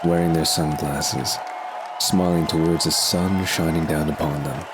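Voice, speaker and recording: Very deep-voiced male narrator. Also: fairly even intonation, measured pace, music in the background, a crowd in the background. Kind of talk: scripted audio drama narration.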